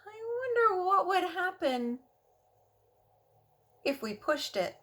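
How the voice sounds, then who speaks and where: A woman voicing an excitable, mischievous little monster from a picture book, playful and exaggerated. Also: very high-pitched, slow pace, voice pushed up, full character voice. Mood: tempted, torn. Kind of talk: animated children's storybook reading.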